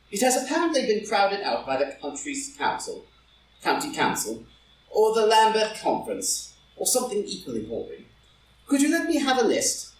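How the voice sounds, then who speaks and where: A man playing a witty, idle English lord and loyal friend in a live reading of a stage comedy, speaking haltingly with pauses. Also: sits high. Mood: light, teasing. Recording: roomy, distant, noisy live group recording.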